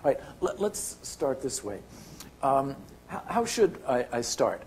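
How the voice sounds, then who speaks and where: An older man gives a keynote talk in a lecture hall, pointing at slides as he goes. His pitch sits in a middle register, and he talks haltingly, full of restarts and fillers. A faint hiss of noise runs under his voice. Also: sing-song, a little mellow. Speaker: keynote speaker.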